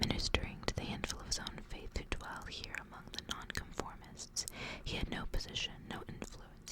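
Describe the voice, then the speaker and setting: Whispering woman, ASMR host. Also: rough recording. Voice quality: breathy, unvoiced whisper. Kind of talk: whispered ASMR reading and casual chat.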